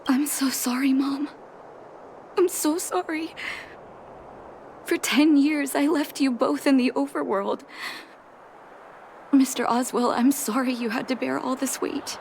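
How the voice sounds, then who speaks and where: A woman's voice: high voice, fairly even intonation, a little slow, creaky, pauses often, slight background noise. A woman voicing a caring, composed young doctor in a video game, earnest and polite. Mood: remorseful. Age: young adult. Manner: soft-hearted, earnest, polite.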